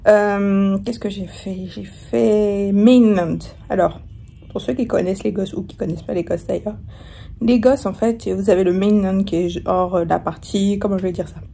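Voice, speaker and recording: A woman's voice: mid-range voice, steady tone, normal speed, gravelly, warm tone. A young woman vlogging casually from her hotel room, chatty and rambling, with a sore throat and a starting cold. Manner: chatty, rambling, many fillers and restarts. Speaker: travel vlogger. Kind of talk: casual vlog chat to camera.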